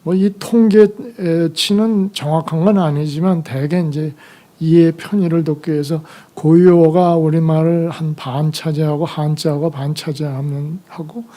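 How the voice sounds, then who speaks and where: An older man lecturing at an academic conference, his voice hoarse from a cold. The tone is mellow and dark. He moves haltingly, pausing on fillers as he gathers each thought. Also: fairly high pitch, steady tone. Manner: halting, slow delivery with many fillers. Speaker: retired medical professor giving a conference lecture.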